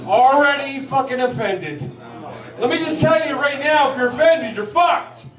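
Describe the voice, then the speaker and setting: A man in his forties doing a crude stand-up set, profane and brash, shouting at the crowd. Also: extremely high pitch, normal speed. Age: 40s. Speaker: stand-up comedian. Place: large hall at a live show. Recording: echoey hall recorded from a distance with crowd noise. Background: laughing crowd.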